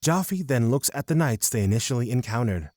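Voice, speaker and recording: A man reads scripted fantasy narration as a storyteller. His voice sits at a medium pitch, recorded close and dry. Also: animated, fairly quick, darkish tone, no echo.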